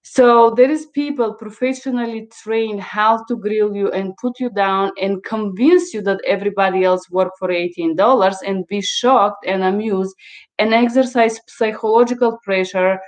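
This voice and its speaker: A woman's voice: mid-range voice, fairly even intonation, normal speed. Emphatic woman. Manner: emphatic, blunt, repeats words for stress. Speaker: interpreter and mentor hosting a livestream. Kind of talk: informal live advice talk to viewers.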